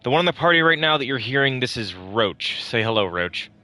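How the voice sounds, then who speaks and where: A man's voice: medium pitch, animated, brisk, sharp tone. A man hosting a casual gameplay session, chatting in an offhand, joking way and swearing now and then. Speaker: host playing the game. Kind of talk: casual let's-play commentary.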